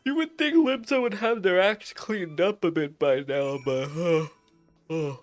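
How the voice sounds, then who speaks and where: A man's voice: sits high, measured pace. A man giving casual, chatty playthrough commentary with playful, slangy reactions. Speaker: game streamer. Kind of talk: casual playthrough commentary with read-aloud dialogue. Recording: game music behind the voice. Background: game music.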